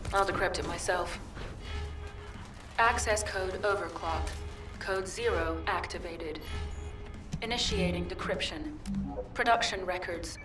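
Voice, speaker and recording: A woman's voice: on the low side, reverberant, distant mic, close to an everyday voice. A woman voicing a cold, mission-driven cyborg infiltration agent in a video game, with a clipped, businesslike delivery.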